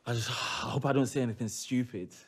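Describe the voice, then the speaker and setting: A man's voice: mid-range voice, a little fast, a little hiss or hum, close to an everyday voice. A man playing a charming, self-deprecating racing driver, hesitant and joking in a naturalistic game interview. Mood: nervous, friendly.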